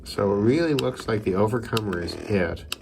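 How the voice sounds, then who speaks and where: Low-voiced man over loud noise. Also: lively intonation, vocal fry. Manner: unhurried, thinking aloud. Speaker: radio hobbyist presenter. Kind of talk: casual hands-on demonstration. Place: indoors by a window.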